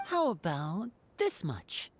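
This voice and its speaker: Low-voiced, formal duelist. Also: female voice, wildly swooping pitch, slow, slightly rough, exaggerated voice. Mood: composed. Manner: formal, polite, reserved. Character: composed, courteous young woman duelist. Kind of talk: video game dialogue.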